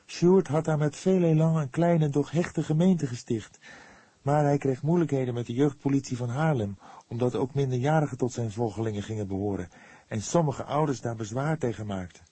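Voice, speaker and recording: A man voicing an earnest, devout young woman in an audiobook, speaking patiently and with solemn emphasis, quick to defend herself. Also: mid-range voice, dark tone, breathy edge, no echo, voice pushed up, natural voice. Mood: earnest, explaining.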